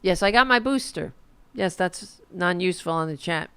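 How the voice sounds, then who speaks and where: Sarcastic woman livestreamer with a gravelly edge. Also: fairly low pitch, lively intonation, moderate pace, sharp tone. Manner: sarcastic, profane, mocking. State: losing her voice. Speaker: political commentary host. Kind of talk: casual livestream commentary.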